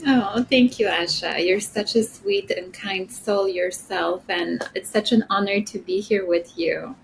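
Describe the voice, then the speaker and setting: A middle-aged woman from Poland, a podcast guest speaking softly and reflectively with many fillers. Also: mid-range voice, a little slow, creaky, a little hiss or hum, poor audio. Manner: soft-spoken, reflective, many "you know" fillers. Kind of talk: interview conversation.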